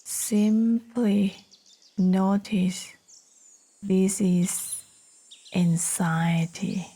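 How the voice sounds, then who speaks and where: A woman narrating a guided meditation in a soft, soothing, breathy manner, leaving long pauses between short phrases. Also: mid-range voice, steady tone. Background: birdsong.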